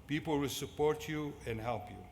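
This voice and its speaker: A man's voice: on the high side, normal speed, a little mellow. Middle aged guy w/ syrian arabic accent giving a graduation speech, big echoey hall, noisy. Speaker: commencement speaker. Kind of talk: prepared motivational speech. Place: large indoor fieldhouse at a ceremony. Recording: echoey fieldhouse PA with clear background noise.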